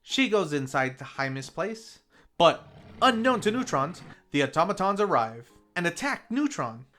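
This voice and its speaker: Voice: mid-range voice, big pitch swings, measured pace, fairly bright tone, faint music behind. A film review host narrating a scripted recap in a casual, playful storytelling manner.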